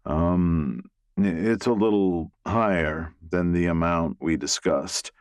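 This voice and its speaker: Audiobook role: awkward, good-natured middle-aged man read by a man, deep register, slow and sheepish. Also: no room sound. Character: Bernie, amiable, awkward male realtor, middle-aged.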